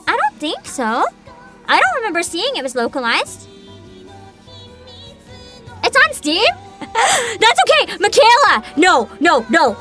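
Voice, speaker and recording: A young woman hosting a livestream, chatting casually with an excitable, giggly, informal manner. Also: very high voice. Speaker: livestream host, vtuber. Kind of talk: casual livestream chat and reactions. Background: background music.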